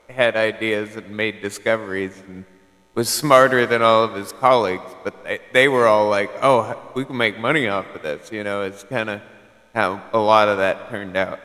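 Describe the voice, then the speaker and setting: A man's voice: on the low side, bright, forceful tone, in a large hall. A man chatting casually on a CB radio channel, rambling and filling his talk with "like" and "you know". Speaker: CB radio caller.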